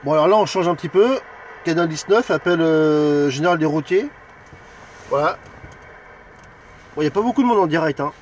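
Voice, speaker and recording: A man from France with a high-pitched voice talks as an amateur radio operator. He moves between call signs and casual chat, friendly and a little hesitant. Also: normal speed, pauses often, slight background noise.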